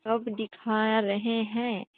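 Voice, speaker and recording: A woman's voice: on the high side, level tone, extremely slow, dry recording. A woman chatting casually and intimately on a phone call, in a coy, hesitant, questioning manner. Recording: tinny, band-limited phone call recording.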